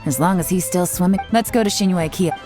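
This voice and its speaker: A woman playing a cool, measured, authoritative spymaster in a video game. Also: lowish voice, natural voice. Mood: calm command. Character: composed, commanding woman spymaster. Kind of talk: scripted video game voice acting. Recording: loud background music.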